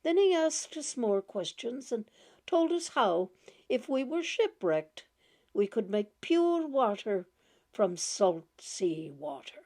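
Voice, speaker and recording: A woman reading a short story aloud in a gentle, halting way, repeating words and laughing softly as she goes. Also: a little high, big pitch swings. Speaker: audiobook reader.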